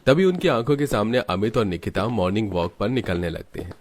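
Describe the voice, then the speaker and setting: A man's voice: on the low side, sing-song, brisk, a little mellow, slight background noise. A man narrating a scripted family cartoon story.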